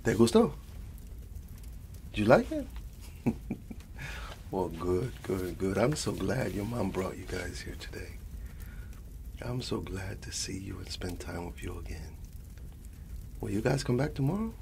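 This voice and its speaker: A man's voice: low voice, sing-song, unhurried, gravelly, pauses often, darkish tone, slight background noise, heavily acted. A man playing a doting old grandfather who reads a bedtime story in an ASMR roleplay, affectionate and chatty, slipping into endearments.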